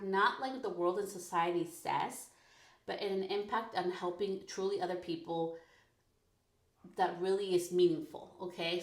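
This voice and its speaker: Chatty woman in an echoey bedroom. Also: mid-range voice. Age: 30s. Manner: chatty, frank, peppered with "like", laughs often. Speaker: podcast host. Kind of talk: casual personal podcast monologue. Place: her bedroom recording corner. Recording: echoey home room.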